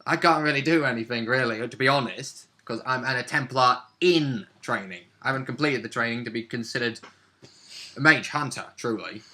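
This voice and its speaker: A man with a sharply bright voice at a middle pitch talks casually about a role-playing session. He is a player telling the story in an animated, informal way. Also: fairly quick. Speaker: tabletop role-play player recounting a session. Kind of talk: casual chat recap of a game session.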